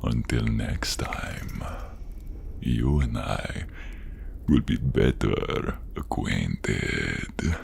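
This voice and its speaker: A man voicing a sinister, gloating royal inquisitor in a fantasy audio role-play, with a deep, gravelly, breathy voice and a theatrical, grandiose way of savoring words. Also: lively intonation, very slow, slight background noise, exaggerated voice. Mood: gloating menace. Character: sinister, gloating royal inquisitor, fawning before his king.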